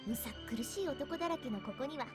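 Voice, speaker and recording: A breezy young soldier woman from an anime visual novel, talks fast in a high, lively voice. She is outspoken, casual and playful, always ready to tease. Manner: casual, teasing. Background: game background music.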